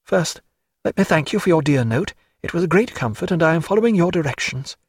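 Brief: man playing proud sick surgeon, husky, wry and warm-toned, lively delivery. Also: a little high, brisk, no room sound, clean studio sound, pitched above the performer's own voice. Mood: tender, wry.

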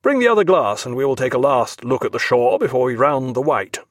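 A commanding old-style navy captain booms out his rebukes, as a male reader puts him on. The voice swings widely as he scolds. The sound is close and dry, with no room around it. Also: big pitch swings, fairly quick, no room sound, natural voice. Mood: gruff. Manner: booming, scolding, gruff. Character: blustering naval captain, tall and commanding. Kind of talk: audiobook character voice.

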